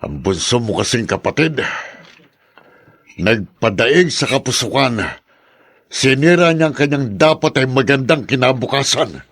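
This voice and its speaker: An actor playing a stern, disappointed older father in a Tagalog radio drama, speaking with deliberate, weighty phrasing. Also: mid-range voice, animated, a bit bright, no echo, studio quality. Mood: stern, regretful.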